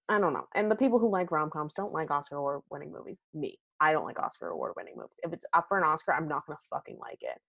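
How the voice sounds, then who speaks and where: Low, gravelly, chatty woman podcaster. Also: no room sound. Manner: chatty and candid, swears freely, many fillers. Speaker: podcast host. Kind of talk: casual solo podcast chat about a movie. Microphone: home recording at a computer.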